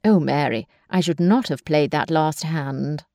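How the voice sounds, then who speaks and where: A woman voicing a charming, careless young gentleman who teases his sister affectionately. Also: sits low, measured pace, dry recording, clean studio sound, voice pushed down. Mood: breezy. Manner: airy, teasing, affectionate.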